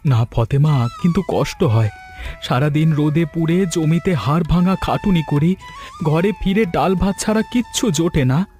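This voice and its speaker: A man acts a hardworking, poor farmer in a cartoon folk tale, proud yet downhearted. His male voice sits a little high and sounds plaintive and earnest, with music behind it. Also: animated, moderate pace, a little mellow, lightly acted. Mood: weary, hurt.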